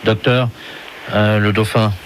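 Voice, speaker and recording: A man making a prank phone call, speaking in a polite, official, deadpan manner. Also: lowish voice, slow pace. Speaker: prank caller posing as aquarium official. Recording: phone prank recording with faint noise.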